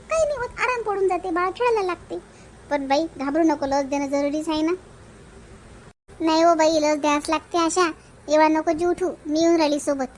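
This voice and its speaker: A woman's voice: sits high, a little hiss or hum, natural voice. A woman voicing a bossy but caring village woman in a family comedy cartoon, emphatic, with a Vidarbha Marathi accent. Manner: chiding, reassuring, emphatic.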